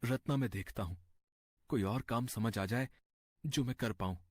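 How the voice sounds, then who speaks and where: A man's voice: medium pitch, moderate pace, darkish tone, no echo, natural voice. A man playing a troubled, humble villager in a moral story, earnest, polite and hesitant. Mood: despondent. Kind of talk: dramatized Hindi moral story. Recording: faint music behind the voice.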